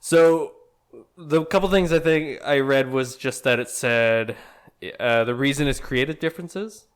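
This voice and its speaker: A man co-hosting a podcast in casual chat, relaxed and teasing, halting a little as he brings up news. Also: mid-range voice, clean tone. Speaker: podcast co-host.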